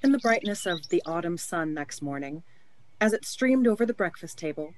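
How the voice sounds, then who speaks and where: A woman's voice: lowish voice, lively intonation, normal speed, low-quality recording. A woman narrating a radio play in a scripted storytelling style.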